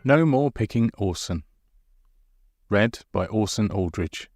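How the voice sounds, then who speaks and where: A man's voice: lowish voice, expressive, takes it slowly, a little mellow, soft background music. A man hosting a podcast, speaking to listeners as its writer and presenter. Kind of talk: podcast presenting, credits and informal asides.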